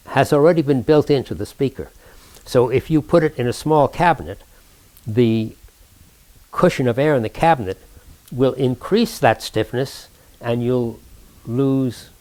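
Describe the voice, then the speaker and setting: An older man recounting technical work for an oral history, precise and formal, speaking deliberately with halting pauses mid-sentence. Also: medium pitch, a little mellow, low-quality recording. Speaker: inventor recounting his own work.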